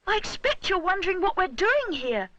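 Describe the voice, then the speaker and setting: A woman voicing an eager, polite little boy bear in a children's storybook, exclaiming brightly as she goes. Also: very high voice, big pitch swings, moderate pace, no echo, pitched above the performer's own voice, full character voice. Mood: eager, puzzled.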